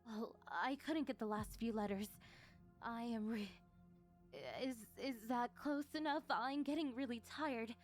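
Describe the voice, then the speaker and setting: A woman voicing a lonely, needy female sleep paralysis demon in an intimate ASMR roleplay, hesitant and soft-spoken. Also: a little high, a little slow, soft background music, lightly acted. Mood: halting, anxious.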